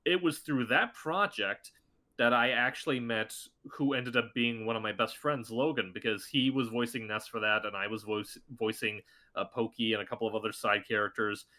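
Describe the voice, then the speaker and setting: A man chatting as a podcast guest, his voice bright and pushed forward. He tells stories eagerly and leaps up in pitch when he acts out what people said. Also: moderate pace. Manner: chatty, excitable, acts out quotes in a higher voice. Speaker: voice actor guest. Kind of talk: casual podcast chat.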